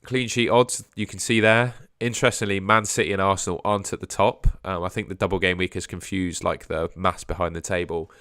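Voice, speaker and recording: Low-voiced man with a sore throat. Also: fairly even intonation, fairly quick, hardly any pauses, no echo, studio quality. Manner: hedging, trails off quietly at phrase ends. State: sore throat, losing his voice. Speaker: fantasy football livestream host. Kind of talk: casual live stream chat answering viewers.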